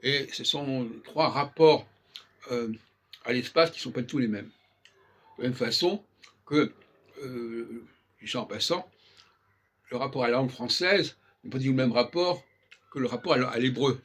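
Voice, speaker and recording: Husky older Frenchman musing aloud. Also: mid-range voice, normal speed, pauses often, a bit bright. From France. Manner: hesitant, restarting phrases. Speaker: channel host. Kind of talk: casual spoken reflection.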